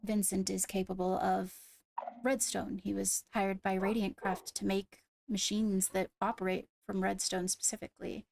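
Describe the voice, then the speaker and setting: Female investigator for fantasy game roleplay acted by a woman: formal, measured, careful with words, gravelly fry, close dry sound. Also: mid-range voice, level tone, a little mellow, no echo, lightly acted. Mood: serious, reasoning. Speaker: roleplay player acting as an investigator. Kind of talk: improvised in-character roleplay dialogue.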